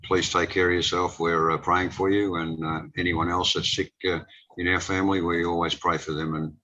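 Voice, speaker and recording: A man's voice: low-pitched, level tone, moderate pace, pushed and bright, highs cut off. A man hosting a webinar, thanking guests with many fillers. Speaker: host. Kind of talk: casual hosting.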